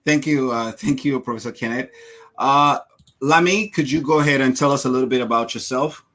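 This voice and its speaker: A man's voice: mid-range voice, a little fast, a bit bright. A man moderating a panel, passing the floor with brief, polite handovers.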